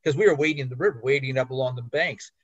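A man's voice: medium pitch, fast, husky, no room sound. An older man giving a slide talk as a fisheries biologist, rambling with restarts, fillers and fishing anecdotes. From Canada. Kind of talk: slide presentation lecture. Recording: dull, slightly muffled recording.

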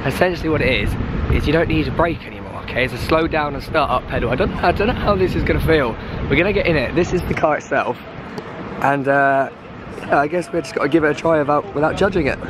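A young man, hoarse from losing his voice, vlogging excitedly to a handheld camera outdoors at a busy event. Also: fairly high pitch, fast, background noise. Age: young adult. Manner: excitable, chatty, jokey. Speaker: football vlogger. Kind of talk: casual vlog to camera. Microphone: handheld camera at arm's length.

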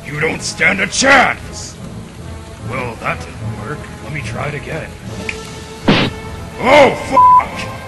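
A man playing a brash, hot-tempered, miniskirt-obsessed officer in a comedic anime parody dub, gravelly, swaggering and given to melodramatic, sweary exclamations. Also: fairly high pitch, huge pitch swings, slow pace, pauses often, full character voice. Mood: smug. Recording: music behind the voice.

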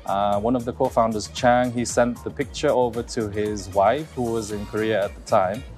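A man's voice: on the low side, steady tone, moderate pace, a little mellow, faint music behind. A man in his thirties from Hong Kong, a restaurant co-founder, speaking to camera in a restaurant through a lapel mic. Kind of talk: to-camera interview segment. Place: restaurant dining room.

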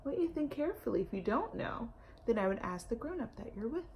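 High, animated young woman reading a storybook. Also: fast talker, a little hiss or hum. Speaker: storytime reader. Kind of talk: animated children's read-aloud with asides to young listeners. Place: indoor room at home.

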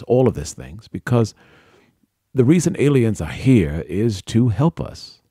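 A man's voice: fairly low pitch, dark tone, no room sound, studio quality. A man hosting a podcast monologue, speaking in short, emphatic, repeated declarative phrases. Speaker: podcast host.

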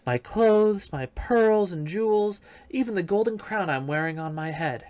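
A spoiled storybook princess, high and eager, quick to beg and quick to sneer, as a man reading aloud puts her on. Her voice jumps well above the reader's own. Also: expressive, darkish tone. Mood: pleading. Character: spoiled young princess, pleading and petulant.

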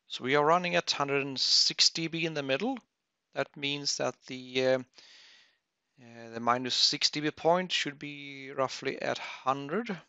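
Guy doing a webinar software walkthrough, slow n halting, dull dry audio. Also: mid-range voice, dry recording. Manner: halting, thinking aloud, frequent throat clearing. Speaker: webinar presenter. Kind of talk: unscripted software tutorial.

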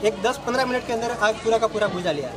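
A man's voice: sits high, fast talker, crowd noise. A young man, the shop owner's brother, explaining at length into a handheld news mic outdoors at night, rambling and repeating himself. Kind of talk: on-the-spot interview. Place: outdoors on a busy street at night. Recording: loud street and traffic noise.

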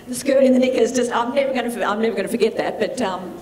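A woman in her fifties moderating a conference Q&A with a handheld mic, chatty and warm, often restarting her sentences. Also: on the high side, a little fast, a little hiss or hum. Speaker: event moderator. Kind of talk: moderating a panel Q&A. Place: large conference hall with audience.

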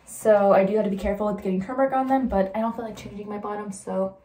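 A woman's voice: mid-range voice, fairly even intonation, quick pace, dark tone. A young adult woman casually narrating her day for a vlog, chatty and full of fillers. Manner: chatty, filler-heavy, talks to the viewer. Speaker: vlogger.

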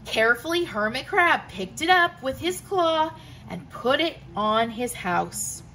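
A woman teacher reading a children's picture book aloud, slowly and gently, giving the quotes their own voices. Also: high-pitched, expressive, a little hiss or hum. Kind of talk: children's storybook read-aloud. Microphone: camera above the book, hands seen turning pages.

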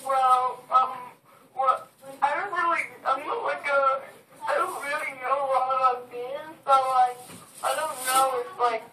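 A teenage boy voicing a fast-food worker and store manager in a staged prank call, hesitant with many fillers. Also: very high-pitched, fairly even intonation, pushed and bright, recorded from a distance, heavily acted. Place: small bedroom. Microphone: laptop webcam mic. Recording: echoey room, voice from across it.

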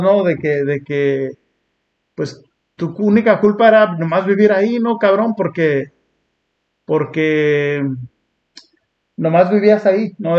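Earnest man. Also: on the high side. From Long Beach, California. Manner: earnest, reflective, slangy and blunt. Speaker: podcast host. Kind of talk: casual reaction chat. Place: small dark indoor studio set, seated. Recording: muffled lo-fi sound.

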